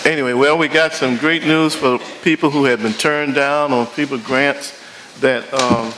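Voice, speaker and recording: A man speaking as a council member, giving a loosely improvised report with halting phrasing and frequent asides at a meeting. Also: medium pitch, fairly even intonation, background noise.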